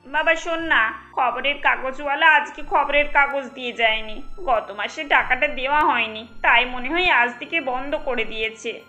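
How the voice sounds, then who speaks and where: A roomy, high and bright voice of an elderly mother-in-law acted by a woman. The cartoon matriarch is gentle and sympathetic, lamenting wearily over music. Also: moderate pace. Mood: explaining. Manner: soothing, lamenting.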